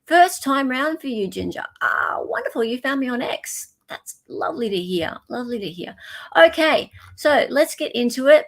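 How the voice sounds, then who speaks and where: A woman's voice: high voice, very animated, normal speed, vocal fry. An Australian woman hosting a livestream, talking in a casual, chatty way and commenting informally to her viewers. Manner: chatty, informal, greets viewers mid-talk. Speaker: livestream host.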